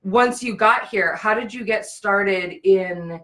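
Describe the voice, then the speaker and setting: Woman, show host, mid-range pitch, live interview presenting, business strategist. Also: slow, fairly bright tone.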